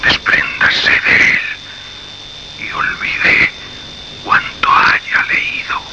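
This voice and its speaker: An actor with a Castilian Spanish accent playing a menacing, indignant anonymous caller in a radio suspense drama, speaking in an imperious, emphatic, repetitive way. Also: male voice, very slow, highs cut off, very noisy. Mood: urgent menace. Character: menacing anonymous male phone caller, indignant guardian of secrets.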